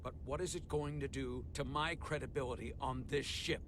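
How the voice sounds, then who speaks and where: An actor playing a stern, authoritative starship captain with bruised pride in a sci-fi game. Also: male voice, medium pitch, steady tone, moderate pace, noisy background, lightly acted. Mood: angry. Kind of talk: cinematic sci-fi game acting. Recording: dramatic music underneath.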